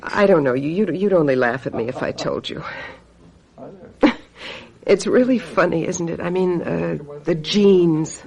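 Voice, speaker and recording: A woman playing a sharp-tongued, brooding psychic in a radio mystery, blunt and knowing as she presses her sister. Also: lowish voice, unhurried, vocal fry. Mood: brooding, ominous. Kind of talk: radio mystery drama acting.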